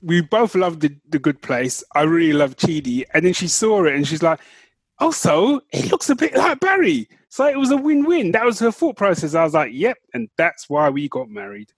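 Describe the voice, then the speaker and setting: A man's voice: fairly high pitch, sing-song, normal speed, breathy edge, dry recording. A British man co-hosting a podcast in casual, playful, slangy and teasing banter. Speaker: podcast co-host.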